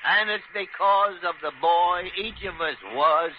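An actor playing a shrewd, wry old senior doctor who likes to tease, in a 1940s radio drama. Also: male voice, high-pitched, measured pace. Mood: teasing. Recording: muffled, noisy old radio recording.

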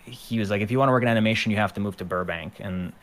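Smooth low-voiced man, rambling. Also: rapid-fire, a bit bright. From Ukraine. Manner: rambling, with many fillers and restarts. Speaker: guest, animator and musician. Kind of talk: casual interview chat.

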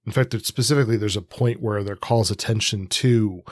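A middle-aged man co-hosting a podcast, giving casual literary analysis in a measured, thoughtful way. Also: fairly low pitch, a little mellow, dry recording. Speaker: podcast co-host.

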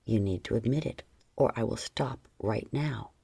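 A woman's voice: creaky, mellow, slightly rough, no echo, full character voice. A woman voicing a commanding, teasing adult male lover in an audiobook. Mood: stern. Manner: low, slow, dominant and teasing.

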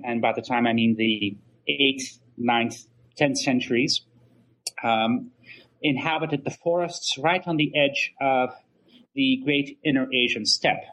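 A middle-aged man, an academic guest in an interview, talking conversationally in wordy, halting and measured phrases. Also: medium pitch, a bit bright, muffled recording. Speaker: history professor and author, interview guest.

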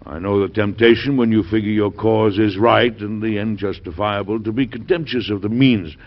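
Genial, principled US president, male actor, American accent, low and gravelly, measured radio-drama speechmaking, dull archival sound. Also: steady tone, close to an everyday voice. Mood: earnest. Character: genial, high-minded American president, quick to indignation. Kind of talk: old-time radio drama acting. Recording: dull, band-limited old radio recording.

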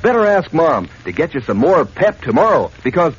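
A man working as a radio announcer, delivering a folksy, enthusiastic 1940s advertising pitch. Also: very animated, normal speed, husky. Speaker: commercial announcer. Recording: dull, noisy old radio recording.

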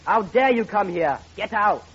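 An actor playing a haughty, posh millionaire son in old radio comedy melodrama, affected and imperious. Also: male voice, high voice, animated, normal speed, fairly bright tone, slightly rough, exaggerated voice. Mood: cold outrage. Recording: dull, noisy old radio recording.

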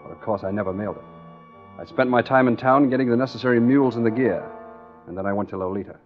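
A man's voice: medium pitch, fast talker, natural voice. An American actor playing a tough, scheming engineer who tells his own swindle in hard-boiled 1940s radio drama style. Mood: hard-boiled, confiding. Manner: hard-boiled first-person storytelling. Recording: dull 1940s radio recording with music.

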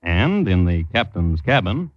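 An actor playing a droll, macabre connoisseur of crime, narrating deliberately and wryly as an old-time radio host. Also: male voice, low-pitched, sing-song, no room sound. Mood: droll, lecturing. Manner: deliberate, wry, understated. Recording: muffled vintage radio recording.

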